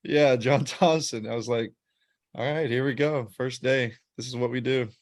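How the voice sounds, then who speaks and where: A man's voice: medium pitch, fairly even intonation, moderate pace, dry recording. A man co-hosting a podcast, joking and playful as he chats with the guest. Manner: joking and playful, laughs along. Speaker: podcast co-host. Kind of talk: casual podcast chat.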